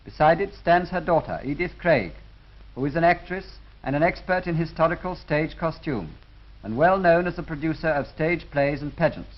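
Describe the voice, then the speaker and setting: A man with a British accent narrating a scripted 1930s film in a formal, measured way. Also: on the high side. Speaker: documentary narrator. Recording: dull lo-fi 1930s film soundtrack.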